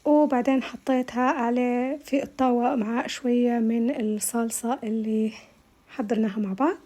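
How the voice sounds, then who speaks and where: A woman's voice: on the high side, steady tone, moderate pace, mellow. A woman with a Libyan Arabic accent and a tired voice, chatting viewers through a recipe step by step in a casual voice-over. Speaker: cooking vlogger.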